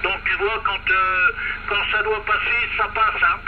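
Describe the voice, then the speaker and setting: A high-voiced man chats casually over a CB radio link. His phrases often end in small tag words. The sound is thin and band-limited, with very loud noise throughout. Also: flat delivery, unhurried. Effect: CB radio transmission. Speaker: amateur CB radio operator. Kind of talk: casual radio contact chat. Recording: very noisy, narrow-band CB radio reception.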